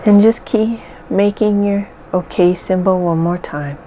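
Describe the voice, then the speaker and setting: A woman leading a guided hypnosis session in a slow, soothing, measured way with long pauses. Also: medium pitch, dark tone, a little hiss or hum.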